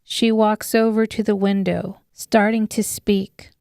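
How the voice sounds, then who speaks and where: A woman narrates a dramatic audiobook story. Her voice is smooth and clean, her reading slow and measured, and the sound is very dry and close. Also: medium pitch, level tone, darkish tone, no echo.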